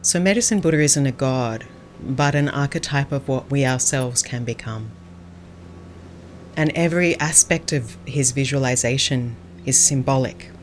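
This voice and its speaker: A woman speaking as a Buddhist nun and meditation teacher, giving calm, gentle, unhurried guided meditation instruction. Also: extremely low pitch, a little hiss or hum.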